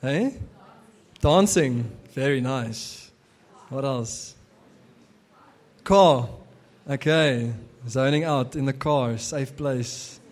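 A man's voice: mid-range voice, extremely slow, pauses often. A young adult man whose first language is Afrikaans, preaching a casual sermon as pastor, with many fillers and restarts.

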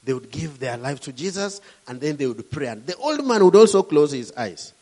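Kenyan man preaching, fairly high voice. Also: sing-song, normal speed, mellow. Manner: emphatic preaching with rising and falling delivery. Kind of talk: sermon.

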